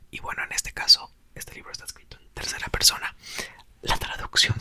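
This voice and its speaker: A young man, host of his channel, whispering a book aloud and chatting softly to viewers. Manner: soft, whispered throughout. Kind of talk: ASMR reading and chat.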